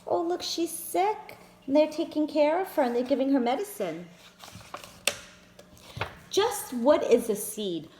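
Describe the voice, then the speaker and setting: A woman reads a picture book aloud to small children, slowly and with a bouncy, sing-song rise and fall. Her voice sits fairly high, and she keeps turning to her listeners as friends to show them the pictures. The sound carries an echo from the room. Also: very animated, dark tone, slight background noise. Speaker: children's storyteller. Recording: echoey room.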